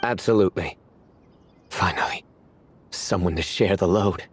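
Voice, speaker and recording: A man voicing a stern young man who loves bad puns and speaks in a deadpan, low-key way in a game cutscene. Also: unhurried, a little hiss or hum.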